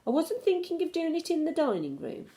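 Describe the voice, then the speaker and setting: A plucky little boy-mouse from a children's storybook, voiced by a woman reader. The delivery is eager, earnest and determined, with animated rises and falls. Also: very high-pitched, fairly quick, voice pushed up, full character voice.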